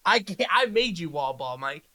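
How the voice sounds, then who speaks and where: Brash, bright-voiced man. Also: on the high side, big pitch swings, normal speed. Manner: brash, swears freely, mock outrage. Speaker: podcast co-host. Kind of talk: casual podcast chat.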